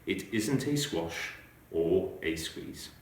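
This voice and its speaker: A man working as a storyteller, reading a children's story aloud in an expressive way. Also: sits low, takes it slowly. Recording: very echoey room.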